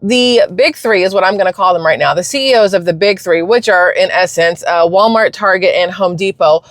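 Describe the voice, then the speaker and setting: A woman from the United States hosting her channel, delivering an emphatic, indignant opinion rant with quick bursts of speech. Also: fairly low pitch, no echo. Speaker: channel host.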